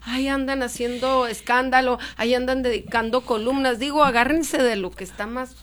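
Woman, Mexican Spanish accent, emphatic panel talk, slightly high voice. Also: fairly even intonation, normal speed, crowd noise. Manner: emphatic, repetitive phrasing. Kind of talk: casual political chat.

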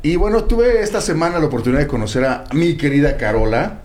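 Lively chatty male radio host. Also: on the high side, quick pace. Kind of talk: casual radio interview.

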